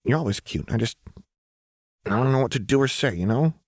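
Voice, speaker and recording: A man's voice: medium pitch, expressive, a little mellow, no room sound, clean studio sound, pitched above the performer's own voice, natural voice. Male narrator as lanky young woman, manic, teasing, awkwardly tender, raised voice, storybook character. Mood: flustered, tender. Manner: teasing, halting when flustered.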